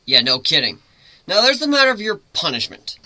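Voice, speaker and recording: A man voicing a brash, commanding griffin ship's captain in a story reading, loud, theatrical and taunting. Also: sits high, huge pitch swings, fairly quick, dry recording, pitched above the performer's own voice, full character voice. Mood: mock stern.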